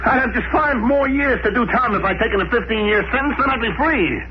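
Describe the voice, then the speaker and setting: An actor playing an embittered, hard-drinking convict miner on Mars in a 1950s radio drama, with rough slangy speech and a whining, complaining manner. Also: male voice, very high-pitched, fairly quick. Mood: bitter, indignant. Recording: dull, noisy old 1950s radio recording.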